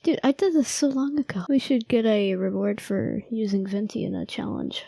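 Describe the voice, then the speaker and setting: A tired-sounding woman giving casual, low-key gameplay commentary with wry muttering. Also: normal speed, vocal fry, dark tone, no echo. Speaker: gaming video creator.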